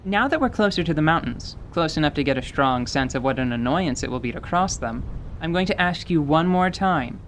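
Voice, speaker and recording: A performer voicing a frank, weary woman who leads travellers, speaking deliberately and with caution in an audio drama. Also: medium pitch, animated, slight background noise, natural voice.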